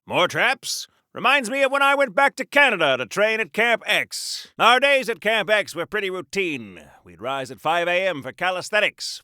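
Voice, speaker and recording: An actor voicing a brash, rambling old-time American soldier-hero in a video game, hammy and chatty, with old-fashioned slang in a 1940s radio-serial style. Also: male voice, high voice, bright, forceful tone, no room sound, studio quality, full character voice. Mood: brash, flustered.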